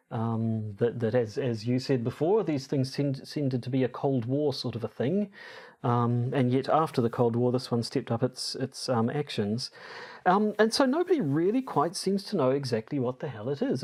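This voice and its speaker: A man co-hosting a podcast, explaining from notes in a hesitant, halting way as he searches for words. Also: mid-range voice, a little mellow. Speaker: podcast co-host.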